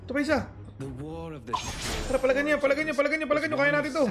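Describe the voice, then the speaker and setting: A Filipino man giving excitable, slangy live commentary on a mobile game, repeating his catchphrases. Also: very high voice, rapid-fire. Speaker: gaming streamer. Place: indoor gaming setup with headrest chair. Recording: voice over loud game music. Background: game music.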